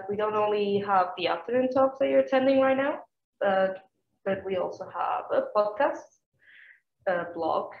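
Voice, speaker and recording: A woman's voice: mid-range voice, gravelly. A woman hosting a science talk event, introducing it hesitantly with fillers and self-corrections. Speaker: event host. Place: lecture room. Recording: echoey, muffled room recording.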